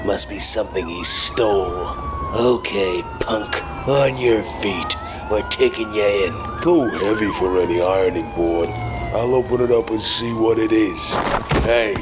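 Man doing a low-voiced, bullying street cop. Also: a little slow, lightly acted. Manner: gruff, sneering, slangy. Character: tough, bullying male street policeman, contemptuous. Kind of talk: comic book dramatization. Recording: noisy, with street and siren sounds.

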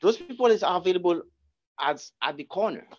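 A man giving a guest talk, answering audience questions in a lecture room, halting with many restarts and repeated words. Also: high voice, lively intonation, darkish tone, dull audio. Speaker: guest speaker.

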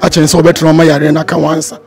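A man's voice: a little high, monotone, rapid-fire, over music. A middle-aged man with a Ghanaian accent, giving earnest advice outdoors into a handheld microphone. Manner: earnest advice, mixing in English words. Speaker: church member. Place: outdoors by a wall.

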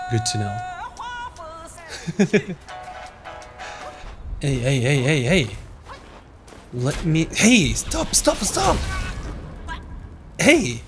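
A Japanese man with a Japanese accent giving casual, playful gameplay commentary, reacting aloud and laughing often. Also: mid-range voice. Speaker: gaming streamer.

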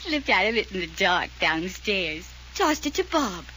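An actress playing a coy, teasing young heiress who sounds tipsy, in an old-time radio mystery. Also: female voice, mid-range voice, sing-song, unhurried, sharp tone. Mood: giddy, careless. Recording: noisy old radio recording.

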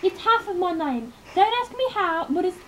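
A young woman does a dreamy doll girl with a very high, swooping voice who drifts into sleepy, whimsical replies. Faint hiss sits behind her on the home camera. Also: expressive, moderate pace. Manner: whimsical, drowsy. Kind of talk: homemade doll puppet show.

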